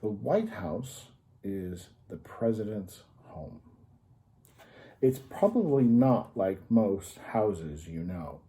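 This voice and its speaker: A man's voice: fairly low pitch, very animated, slow, warm tone. A man hosting a children's story time, patiently explaining things in simple terms in an informal, educational read-aloud style.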